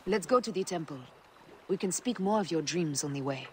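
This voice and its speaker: An actress playing a composed, caring and direct Egyptian wife in a video game. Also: female voice, lowish voice, expressive, fairly quick, close to an everyday voice. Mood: purposeful. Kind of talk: video game cutscene dialogue. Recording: faint noise and ambience. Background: faint ambient sounds.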